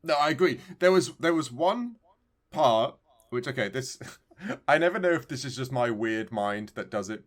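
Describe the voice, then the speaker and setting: A man's voice: mid-range voice, animated, moderate pace. A man co-hosting a podcast, chatting casually about video games in an enthusiastic, rambling way. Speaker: podcast co-host. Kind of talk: casual video game discussion.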